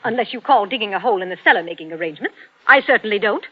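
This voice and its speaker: A woman's voice: mid-range voice, animated, very fast, lightly acted. Woman as haughty nagging wife, old radio. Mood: sarcastic. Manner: imperious, clipped sarcasm. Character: haughty, scornful nagging middle-aged wife. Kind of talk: 1940s radio acting. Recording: dull 1940s radio recording.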